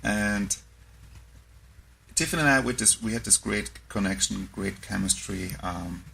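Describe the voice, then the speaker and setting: A man with an Austrian accent speaks with a deep, gravelly voice that has a bright, forceful edge. He talks as a podcast guest, pausing often and restarting his thoughts. A faint noise sits behind him. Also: low-quality recording. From born in the US South, raised in Austria. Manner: halting, reflective, many fillers and restarts. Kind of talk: casual conversation.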